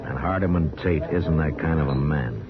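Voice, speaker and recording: An actor playing a stern but fair American frontier marshal in an old radio western, plain-spoken and authoritative. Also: male voice, very deep voice, normal speed, music in the background. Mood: firm, fatherly. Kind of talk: 1950s radio western acting. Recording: muffled old radio recording, crowd noise.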